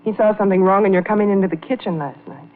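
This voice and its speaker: A woman's voice: medium pitch, very fast, lightly acted. An anxious, unhappy wife played by an actress in a golden-age radio melodrama. She speaks with pleading urgency, as a woman trapped with a jealous husband. The recording is thin and narrow, like a telephone line. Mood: worried. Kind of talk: 1940s-50s radio melodrama acting. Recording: vintage radio, thin phone-like band.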